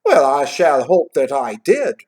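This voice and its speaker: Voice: mid-range voice, very animated, measured pace, a little mellow, pitched above the performer's own voice. A storyteller voicing a wondering young street boy who calls out exclamations, in a storybook reading. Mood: eager, surprised.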